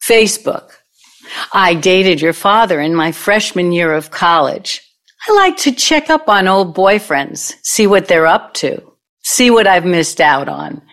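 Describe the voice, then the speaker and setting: A woman's voice: fairly low pitch, expressive, unhurried, fairly bright tone. A woman playing a brash, nostalgic, scheming older former girlfriend in an audio play, with a knowing, theatrical manner. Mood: gushing, probing.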